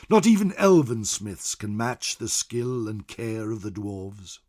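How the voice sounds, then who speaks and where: A man voicing a venerable old scholar in solemn, theatrical fantasy narration, with a husky voice that swells and drops away. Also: on the low side, sing-song, unhurried, darkish tone. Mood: solemn, proud. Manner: theatrical, solemn, swelling then dropping to whispers. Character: venerable old male scholar chronicler, grave, fearful, awed by ancient lore. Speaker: storyteller. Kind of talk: dramatic in-character fantasy narration.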